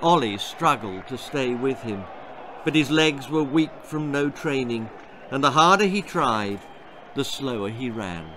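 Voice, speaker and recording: An older man reading his children's story aloud in an expressive, dramatic narrating style. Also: mid-range voice, measured pace, a crowd in the background, noisy background. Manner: expressive, theatrical. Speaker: author reading own story. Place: small book-lined room indoors.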